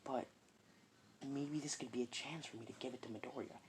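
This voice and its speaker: Hero mentor man, as the narrator puts him on, quiet, hesitant, near-whispered thoughts, pitch moving a lot. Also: big pitch swings, a little fast, slight background noise, close to an everyday voice. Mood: musing quietly. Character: big hero mentor, grown man, earnest.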